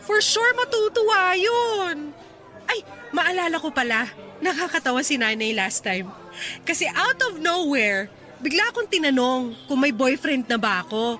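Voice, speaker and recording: An actress playing Mylene, a hardworking young woman devoted to her mother, in a radio drama with soft music underneath. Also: sits high, full character voice.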